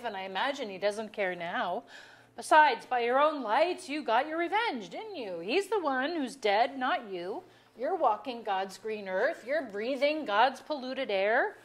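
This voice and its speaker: Actress as middle-aged wife, high voice, very lively intonation, dry, articulate, exasperated, naturalistic stage dialogue. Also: female voice. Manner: dry, articulate, deliberate phrasing. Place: auditorium.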